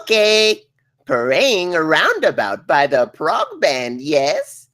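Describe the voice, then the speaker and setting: A woman playing a cheeky, deadpan ship's computer with a playful, officious manner in a comedy table read. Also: low-pitched, takes it slowly, full character voice. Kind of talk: acted table read of a comedy film script.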